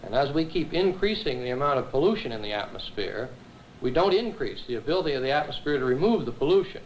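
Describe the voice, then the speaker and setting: A man speaks as an academic scientist being interviewed. His mid-range voice is measured and explanatory, pausing to correct himself. The recording is a 1970s film interview with faint noise. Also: mid-range voice, expressive, dull audio. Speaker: atmospheric scientist, professor.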